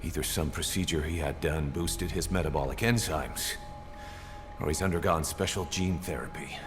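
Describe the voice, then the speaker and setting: An actor playing a coolly analytical male military interrogator, measured and matter-of-fact, in a dramatic video game. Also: extremely low pitch. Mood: cool, guarded. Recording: long echoing reverb under music. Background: music.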